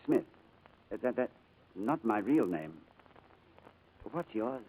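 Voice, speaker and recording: An actor in a 1940s-style radio drama playing a gentle amnesiac man who speaks shyly, with a nervous stammer. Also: on the low side, big pitch swings, husky, phone-quality sound, full character voice. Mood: nervous, halting. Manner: hesitant, halting, stammering. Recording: old radio broadcast recording.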